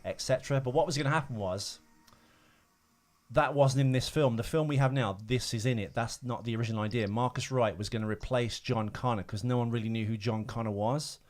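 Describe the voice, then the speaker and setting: A man's voice: medium pitch, fairly even intonation, a little fast. A man co-hosting a podcast, chatting casually about films with sweary, joking banter. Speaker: podcast co-host. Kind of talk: casual film chat, trivia.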